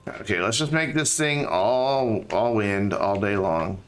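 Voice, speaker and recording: A man's voice: on the low side, unhurried. A tired man with a slightly scratchy throat, streaming a game and thinking aloud in casual chat with many fillers.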